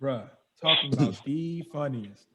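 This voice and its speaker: A young man hosting a podcast, telling a wild story in casual slang, animated and laughing as he goes. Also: mid-range voice, unhurried, crowd noise.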